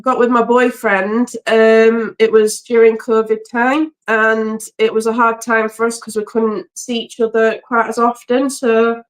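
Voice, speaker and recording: A woman's voice: a little high, level tone, unhurried, hardly any pauses, rough recording. Laughing chatty English woman. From England. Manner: warm, chatty, laughs often. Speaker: guest. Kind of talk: casual live-stream chat. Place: home by the sea.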